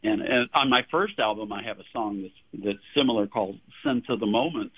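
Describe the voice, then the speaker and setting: A man's voice: fairly low pitch, fairly quick, husky. Man in his sixties from Texas, chatty guest, laughs often, restarts his sentences, tinny phone line. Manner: chatty, laughs often, repeats words when starting. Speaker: singer-songwriter guest. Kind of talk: radio interview, casual storytelling.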